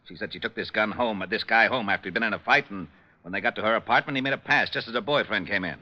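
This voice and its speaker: Fast, brusque radio police lieutenant. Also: male voice, on the low side, pushed and bright. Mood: impatient, skeptical. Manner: gruff, blunt. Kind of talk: 1940s radio detective drama acting. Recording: muffled vintage radio recording.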